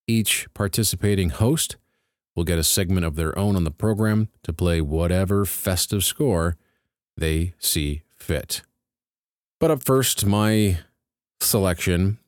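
A man with a low, deep voice hosts a film-music podcast in an easygoing but enthusiastic way, stressing the words he cares about. The sound is close and dry, with no room echo. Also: darkish tone. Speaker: podcast host. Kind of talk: scripted radio-style presentation.

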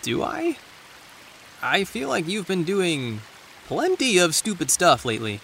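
Sardonic teenage boy, lively intonation. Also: high-pitched, measured pace, vocal fry, a little hiss or hum, full character voice. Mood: sullen. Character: sardonic, self-deprecating teenage boy, anxious loyal friend. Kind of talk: naturalistic audio drama acting.

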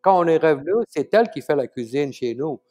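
A middle-aged man from Malaysia with a Malaysian accent, whose first language is Tamil, speaking in an interview as a guest chef. He talks haltingly, with many fillers and restarts, and chuckles often. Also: a little high, lively intonation, darkish tone. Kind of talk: casual conversational interview.

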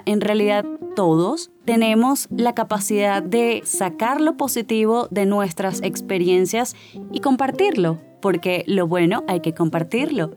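Woman, Caracas Venezuelan accent, podcast host, upbeat storytelling, soft music underneath. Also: mid-range voice. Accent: Caracas Venezuelan Spanish. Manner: upbeat and warm, personal storytelling. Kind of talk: scripted motivational podcast narration.

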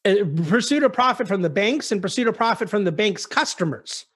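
A man's voice: high voice, lively intonation, fairly quick, a bit bright, breathy edge. A man speaking as an investment officer on a conference call, giving articulate, emphatic explanations in answer to investor questions. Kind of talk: conference call market commentary.

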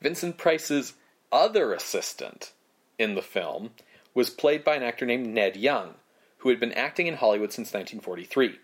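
Male co-host, mid-pitched, relaxed delivery of prepared film trivia. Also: moderate pace. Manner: reads prepared facts, relaxed. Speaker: podcast co-host. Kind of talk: casual film history chat.

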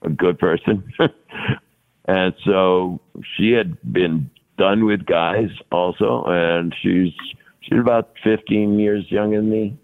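A man's voice: sits low, fairly even intonation, slow pace. Rambling man in his sixties, tinny line. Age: 60s. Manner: rambling, repeats words, laughs often. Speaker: podcast guest. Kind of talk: casual interview conversation.

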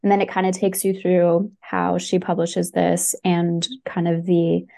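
A woman's voice: on the low side, monotone, unhurried, gravelly, warm tone. A woman chatting casually and enthusiastically on a podcast, with many fillers and restarts. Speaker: podcast guest recommending books. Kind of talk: casual chat.

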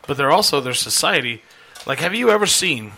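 A man hosting a live-streamed chat show, rambling loosely with casual swearing and a voice going hoarse. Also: a little high, big pitch swings, brisk, sharp tone. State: losing his voice. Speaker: podcast host.

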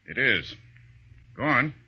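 An actor playing a wealthy, curt music publisher in a 1940s radio drama, cold, sardonic and imperious. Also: male voice, medium pitch, big pitch swings, slow pace, slight background noise. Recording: dull 1940s radio recording.

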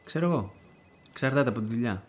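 A man's voice: medium pitch, lively intonation, close to an everyday voice. Guarded, testy middle-aged editor played by a man. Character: evasive, ironic, irritable middle-aged male newspaper editor. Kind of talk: radio drama dialogue.

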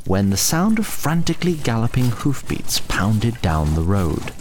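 Low, expressive male storyteller. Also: a little slow, noisy background. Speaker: narrator. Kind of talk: scripted fantasy story narration.

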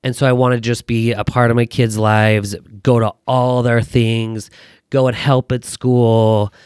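An American man in his thirties chatting casually as a podcast guest, telling personal stories in an animated, self-deprecating way. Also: mid-range voice, a little slow, clean tone, no echo, clean studio sound. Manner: animated, self-deprecating joking with acted-out quotes. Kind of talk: casual chat, personal storytelling.

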